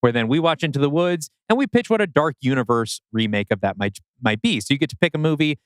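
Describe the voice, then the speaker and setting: A man's voice: on the high side, animated, quick pace, smooth, no room sound. A man co-hosting a podcast, casually explaining things to listeners. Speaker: podcast co-host.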